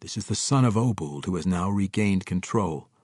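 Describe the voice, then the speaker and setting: A man voicing a calm male dark elf ranger in a fantasy audiobook, speaking in a composed, formal way. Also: low-pitched, normal speed, slightly rough, no echo, clean studio sound.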